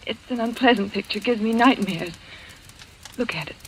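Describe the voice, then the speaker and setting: A high-pitched, fearful young woman played by an actress in an old radio thriller. She is breathless and halting, full of melodramatic dread. The recording is noisy. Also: lightly acted. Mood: uneasy dread. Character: terrified, nervous young woman haunted by nightmares. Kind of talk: old-time radio drama acting.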